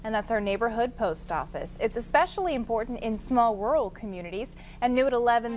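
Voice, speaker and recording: An American woman reading scripted news as a television anchor. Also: fairly high pitch.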